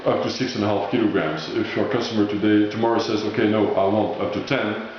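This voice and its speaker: Guy with a lowish voice explaining a product, searches for words, super echoey room, muffled sound. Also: fairly even intonation, quick pace. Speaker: product presenter explaining label machinery. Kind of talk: informal product demonstration. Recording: echoey dull room recording, faint noise.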